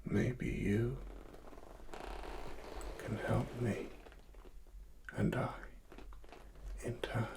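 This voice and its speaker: A man with a British accent speaking softly in an ASMR roleplay as a secretive cyberpunk investigator, breathy and deliberate, often near a whisper. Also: medium pitch, level tone. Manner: slow, deliberate, hushed. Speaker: ASMR performer.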